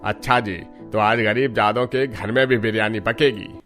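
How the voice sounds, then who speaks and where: A man's voice: lowish voice, fairly even intonation, normal speed, fairly bright tone, soft background music, natural voice. A man playing a rude, miserly grocer who scorns poor customers, in a cartoon story. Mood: sneering, scolding. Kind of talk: Hindi cartoon story voice acting.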